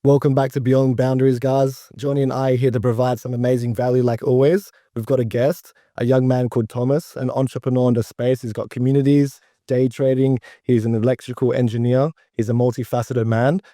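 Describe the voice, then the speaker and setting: A man co-hosts a podcast, greeting listeners and drawing out his guest in an upbeat, encouraging way. His voice has a very dark, mellow tone over a middling pitch, recorded dry and close. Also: little pitch movement, fairly quick, hardly any pauses, no echo. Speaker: podcast co-host. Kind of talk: podcast interview.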